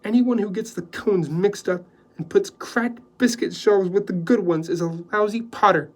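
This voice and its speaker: Man doing sharp-tongued woman, curt, high and loud. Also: little pitch movement, slow. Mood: snappish. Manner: curt, snappish. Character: sharp-tongued moody woman, overworked potter.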